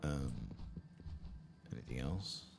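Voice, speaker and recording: A man doing soft-spoken, often whispered ASMR game commentary, murmuring his thoughts aloud without hurry. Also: a bass voice, animated, gravelly, loud music behind the voice. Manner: murmured, unhurried thinking aloud. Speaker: host of an ASMR gaming playthrough. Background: video game ambience.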